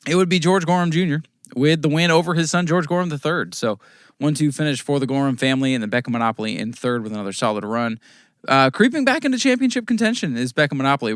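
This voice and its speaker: An American man with a voice worn hoarse, casually recapping local short-track races as a podcast host, rambling through results with fillers. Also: medium pitch, a bit bright, dry recording, clean studio sound. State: losing his voice, coughing at times. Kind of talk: casual race recap talk.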